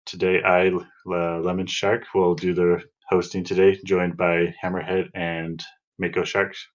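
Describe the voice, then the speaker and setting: A man's voice: low-pitched, little pitch movement, measured pace, highs cut off. A man hosting a casual podcast chat in a loose, rambling way. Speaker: podcast host.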